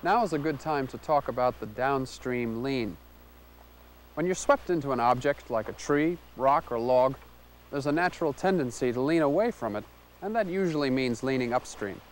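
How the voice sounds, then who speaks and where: A man in his thirties narrating a canoeing lesson, explaining strokes in a clear, measured way. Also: medium pitch, lively intonation, slight background noise, rough recording. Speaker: canoeing instructor. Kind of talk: instructional narration.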